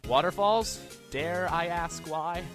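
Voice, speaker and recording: A man's voice: high-pitched, slow pace, far from the mic, over music. A man playing a wry, self-deprecating young rookie defense attorney in an audio drama, narrating in a sarcastic, conversational way. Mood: wry, upbeat. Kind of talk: fan audio drama acting.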